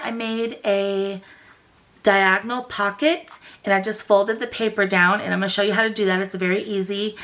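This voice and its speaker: A young woman hosting a craft channel, chatty and gushing, her voice still a little rough after a cold. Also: mid-range voice, a bit bright. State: recovering from a cold, voice slightly rough. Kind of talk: casual tutorial chat.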